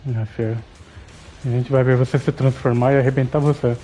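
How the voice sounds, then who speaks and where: A man's voice: mid-range voice, level tone, quick pace, warm tone, faint music behind. A man with a Brazilian accent giving casual, slangy, sardonic live reactions as a game streamer.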